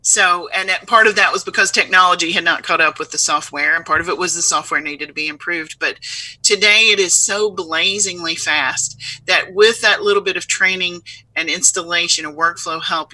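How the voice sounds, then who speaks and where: A woman running a software company, talking up her product in a casual, upbeat way during an online interview. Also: lowish voice, fairly even intonation, a little fast, no echo, poor audio. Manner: upbeat, salesy, uses homely comparisons. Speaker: CEO of a speech software firm, guest. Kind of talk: casual interview over video.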